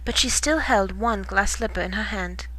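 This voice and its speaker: A woman reading a children's bedtime story in expressive storybook narration. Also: medium pitch, normal speed, no echo, low-quality recording.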